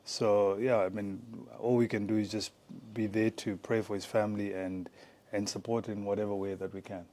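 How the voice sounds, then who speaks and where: Guest, male, 40s, from Zimbabwe; lower voice, relaxed and searching for words. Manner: halting, many fillers and restarts. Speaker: guest, former rugby player. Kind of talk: casual interview chat.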